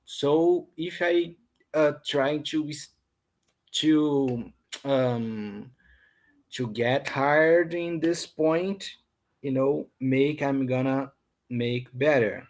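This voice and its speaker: Brazilian man, English learner on a video call, hesitant, unhurried delivery, mid pitch. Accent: Brazilian Portuguese. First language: Portuguese. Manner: hesitant, many ums and restarts. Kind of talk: casual online lesson chat.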